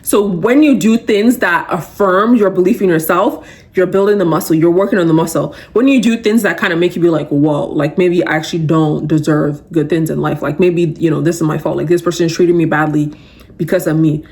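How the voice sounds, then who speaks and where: A woman's voice: fairly low pitch, a little fast. A young adult woman hosting a podcast, giving a casual, emphatic motivational talk full of fillers. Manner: animated, emphatic, conversational with many fillers. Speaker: podcast host.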